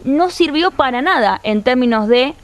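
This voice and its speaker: A woman's voice: high voice, expressive, moderate pace. A woman with an Argentine accent arguing her point at length as a panelist on a political talk show. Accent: Argentine Spanish. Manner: fluent, argumentative, long sentences.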